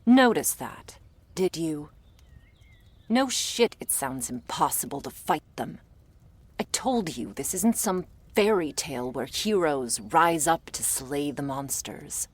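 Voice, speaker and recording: A woman playing a jaded, prickly monster hunter in a roleplay audio drama, blunt and sardonic, with a low gravelly voice. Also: animated, slow pace, exaggerated voice. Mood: irritated, defiant.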